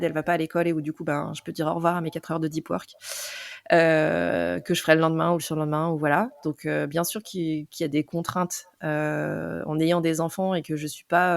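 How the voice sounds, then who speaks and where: A woman's voice: low voice, little pitch movement, fairly quick, creaky, no echo. A woman in her forties, an entrepreneur and podcast guest, talking through her story with many hesitations and fillers. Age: 40s. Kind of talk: casual interview chat.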